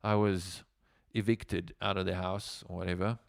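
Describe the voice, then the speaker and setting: South African musician, low gravelly voice, dry sound, loose rambling storyteller. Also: male voice, little pitch movement, normal speed, no room sound. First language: Afrikaans. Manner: rambling, with many fillers and restarts. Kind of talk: casual livestream storytelling.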